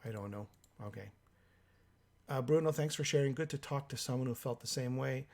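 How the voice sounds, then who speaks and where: A hoarse man with a cold hosts a live stream, reading out viewer comments in a halting way with many fillers. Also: mid-range voice, darkish tone. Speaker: livestream host.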